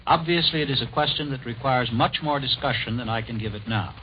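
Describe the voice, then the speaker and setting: A slightly noisy, dulled 1940s radio recording carries a man with an American accent. His medium-pitched voice gives a formal, deliberate scripted talk. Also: dull audio. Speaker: radio commentator.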